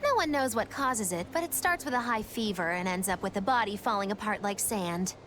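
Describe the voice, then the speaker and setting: A woman's voice: medium pitch, lively intonation, a little fast, a bit bright, a little hiss or hum, natural voice. An actress voicing a whiny, theatrical witch in a video game, with a melodramatic, grandiose way of talking. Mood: ominous. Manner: melodramatic, grandiose old-fashioned phrasing. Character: theatrical, whiny witch who dramatizes her own doom.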